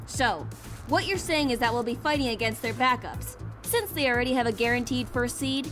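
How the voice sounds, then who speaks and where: A woman's voice: a little high, brisk, a bit bright, close to an everyday voice. A woman playing a businesslike, direct young strategist on a mech team in a video game, with music behind. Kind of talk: anime-style game voice acting. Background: game background music.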